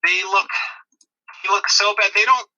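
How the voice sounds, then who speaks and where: Very bright-voiced man hosting a podcast. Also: high voice, steady tone, normal speed, low-quality recording. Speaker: podcast co-host. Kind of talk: movie review podcast chat.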